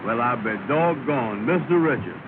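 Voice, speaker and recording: A man's voice: medium pitch, lively intonation, moderate pace, lightly acted. Man playing pompous Southern fellow, tinny old film. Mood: pleased. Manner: pompous, folksy. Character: self-important but simple Southern man, taxi company president. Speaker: actor in a comedy film. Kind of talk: 1930 early sound film comedy acting. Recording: scratchy, tinny, noisy 1930 film soundtrack.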